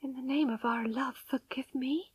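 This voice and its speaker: A woman's voice: sits high, normal speed, a bit bright, no echo, voice pushed up. A woman voicing a distraught, devoted young wife in a dramatic story, pleading and tearful. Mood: hushed pleading. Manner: pleading, breathy whispers rising to anguished cries.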